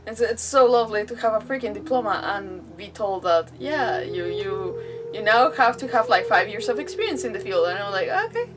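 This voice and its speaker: A woman game streamer chatting casually to her viewers in an animated, rambling, self-deprecating way. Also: fairly high pitch. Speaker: game livestreamer. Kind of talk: casual livestream chat to viewers. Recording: distant mic, music playing behind. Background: background music.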